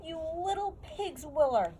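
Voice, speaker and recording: A high-pitched, foul-tempered giant played by a woman, greedy and bullying. He roars his threats in clumsy, mangled grammar, storybook style, over clear background noise. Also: very animated, measured pace. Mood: disgusted, enraged. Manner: shouting, roaring, mangled comic grammar.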